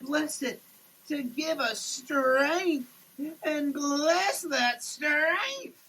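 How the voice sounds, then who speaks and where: A performer giving a halting, theatrical, declamatory dramatic reading in a running shower. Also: sits high, expressive, bright, forceful tone, poor audio. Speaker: solo sketch performer. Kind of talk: dramatic reading of a product label. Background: running water.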